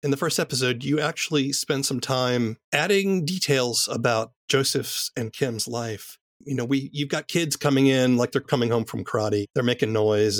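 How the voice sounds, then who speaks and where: Male podcast host: conversational interviewer, medium pitch, dry studio-like sound. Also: no room sound.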